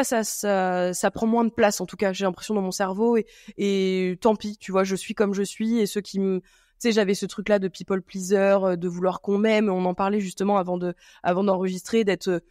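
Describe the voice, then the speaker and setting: A woman's voice: mid-range voice, steady tone, normal speed, a little mellow, dry recording, clean studio sound. A woman hosting a podcast, chatting casually and rambling with many fillers and restarts. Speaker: podcast host. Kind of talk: casual chat.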